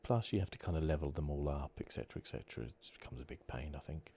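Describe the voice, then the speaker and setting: Really deep voiced guy doing soft asmr gaming commentary, relaxed n hesitant, noticeable background noise. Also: rapid-fire. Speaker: gaming video host. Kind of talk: ASMR game playthrough commentary. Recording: clear steady background noise.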